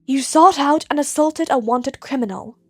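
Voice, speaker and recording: A woman's voice: sits high, normal speed, a little mellow, dry recording, soft background music, natural voice. Woman playing fed up cat cop guy, weary and dry, long-suffering, close dead-room audiobook sound. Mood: exasperated. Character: exasperated, weary male feline police officer.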